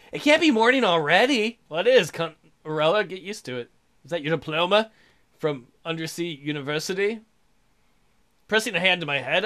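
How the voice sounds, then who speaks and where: A man's voice: sits high, bright, forceful tone. A man hosting a let's play, reading a visual novel aloud with crude, irreverent joking asides.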